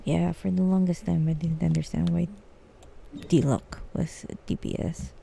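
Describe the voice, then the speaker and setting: A woman's voice: lowish voice, little pitch movement, moderate pace, vocal fry, dark tone, slight background noise. A woman chatting casually on a live game stream, rambling and thinking aloud in a hesitant way. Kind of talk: casual live stream chat while gaming.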